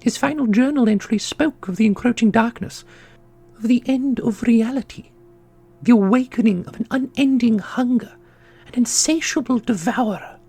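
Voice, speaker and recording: A woman playing an ancient female custodian in a fantasy audio drama, dignified and sorrowful, speaking in a formal, measured, gentle way. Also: fairly high pitch, breathy edge.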